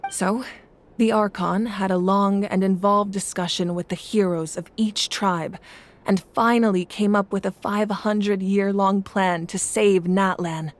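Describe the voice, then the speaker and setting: A woman playing the calm, grave ruler of a fire nation in a video game, speaking in a measured, formal way. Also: mid-range voice, lightly acted. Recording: game audio with loud music.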